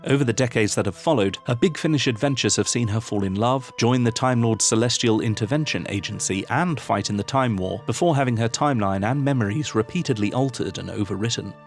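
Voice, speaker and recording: A man narrating a scripted video essay in a measured, formal, articulate manner. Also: mid-range voice.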